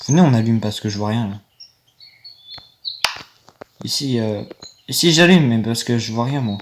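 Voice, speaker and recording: A man's voice: mid-range voice, moderate pace. Young guy, rambling let's-play talk into a phone, light noise behind. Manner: rambling, easily annoyed, talks to viewers. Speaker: gaming video creator. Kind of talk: casual gameplay commentary.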